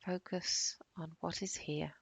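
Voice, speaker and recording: Very creaky, slow-speaking woman guiding meditation. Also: lowish voice, no room sound, whispered. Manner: calm, soothing, unhurried with long pauses. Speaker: meditation guide. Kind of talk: guided body-scan meditation.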